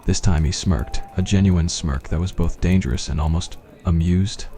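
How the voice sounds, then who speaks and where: Deep-voiced man narrating an ASMR story. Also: normal speed, mellow.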